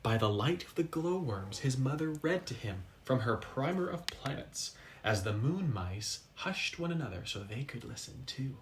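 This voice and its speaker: A man reads a storybook aloud in a medium-pitched, very animated voice. He is dramatic and pauses for effect, over faint background noise. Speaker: storybook reader. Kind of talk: expressive children's story read-aloud.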